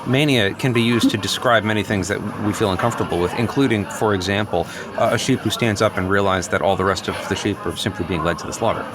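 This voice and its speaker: There is noticeable background noise around a deep-voiced man acted by a male improviser. He is a defensive, philosophizing office worker in a naturalistic radio play. He hesitates, circles back and earnestly justifies his new enthusiasm. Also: quick pace, hardly any pauses, lightly acted. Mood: agitated, philosophizing.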